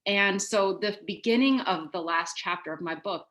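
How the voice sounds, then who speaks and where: A woman's voice: medium pitch, normal speed, a bit bright. A middle-aged woman from the United States speaking reflectively as an author on a video call panel. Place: home room on a video call. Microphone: video call microphone.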